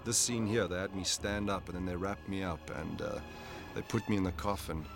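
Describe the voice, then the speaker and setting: A man's voice: sits low, fairly even intonation, fairly quick, creaky. A man, an actor in the film, chatting casually and jokingly while commenting on it. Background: film soundtrack music.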